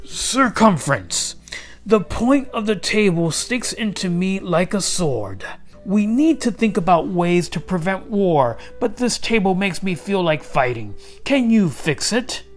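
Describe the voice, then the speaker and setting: A man's voice: sits high, big pitch swings, measured pace, faint music behind, pitched above the performer's own voice. A man voicing a mighty, gracious but stern storybook king, with a grave, declamatory delivery. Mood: displeased.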